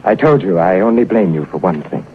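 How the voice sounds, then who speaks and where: An actor playing a weary, sardonic wartime secret agent in a measured 1940s radio drama style, on an old hissy recording. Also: male voice, low-pitched, expressive, narrow, tinny audio. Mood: bitter, restrained.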